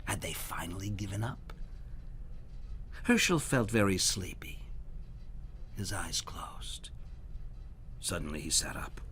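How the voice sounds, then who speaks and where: A male storyteller with a lowish voice reads to children in a dramatic manner. His delivery is unhurried and very animated, with faint noise in the background. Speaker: storyteller reading aloud.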